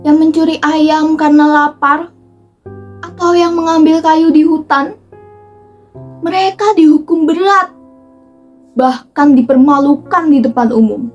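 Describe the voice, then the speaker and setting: High-voiced woman performing an impassioned monologue. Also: steady tone, muffled recording. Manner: impassioned, rhetorical, emphatic with dramatic pauses. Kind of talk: dramatic theatrical monologue. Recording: distant microphone with music underneath. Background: background music.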